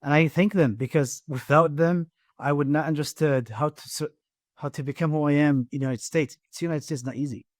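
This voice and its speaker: A middle-aged man with an Iraqi Arabic accent, a guest on a podcast interview, talking haltingly with many restarts and repeated words. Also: a little high, no echo, clean studio sound. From Iraq. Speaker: guest, engineering firm president.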